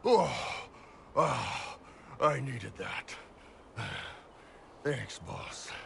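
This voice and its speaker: An actor playing a huge, gruff, brash warrior mercenary in a video game, with a gravelly voice and blunt, swaggering manner. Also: male voice, mid-range voice, lively intonation, drawn-out words, a bit bright, a little hiss or hum, full character voice. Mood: relieved. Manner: blunt, swaggering, casual swearing.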